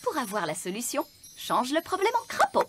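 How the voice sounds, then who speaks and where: A woman's voice: sits high, wildly swooping pitch, brisk, soft background music. A woman voicing a bossy, confident fairy nanny in a cartoon, self-assured and theatrical. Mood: smug. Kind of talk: cartoon voice acting.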